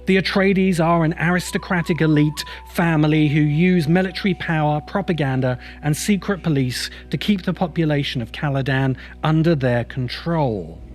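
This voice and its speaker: A man narrates a scripted video essay in a slightly high voice. He is emphatic and opinionated, building rhetorical points, with music playing quietly underneath. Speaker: host and writer of science fiction video essays. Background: background music.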